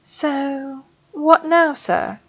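A woman reader voicing a frightened young schoolgirl who talks high and breathlessly, on a dull lo-fi recording. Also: animated, drawn-out words, a bit bright, exaggerated voice. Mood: hopeful. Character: young girl student, frantic and fearful.

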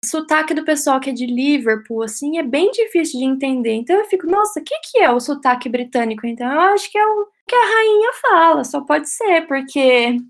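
High-voiced chatty woman. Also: lively intonation, a little fast, few pauses. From Brazil. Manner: chatty, explains at length with frequent "né?" and light laughs. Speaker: guest, professional ballerina living in England. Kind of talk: casual interview answers.

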